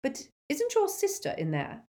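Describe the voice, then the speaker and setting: A woman voicing a stern older female professor who speaks in a crisp, measured, icily proper manner. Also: sits high, wildly swooping pitch, dark tone, pitched above the performer's own voice, exaggerated voice. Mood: cold.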